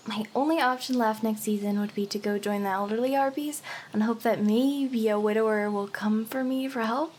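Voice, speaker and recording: Woman voicing a high-pitched, earnest young harpy girl. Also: fairly even intonation, moderate pace, hardly any pauses, darkish tone, slight background noise, lightly acted. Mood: desperate, dejected. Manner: earnest, pleading, stammering, breaking into whispers. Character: hyper, lovelorn young female harpy desperately courting a human. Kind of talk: anime ASMR roleplay acting.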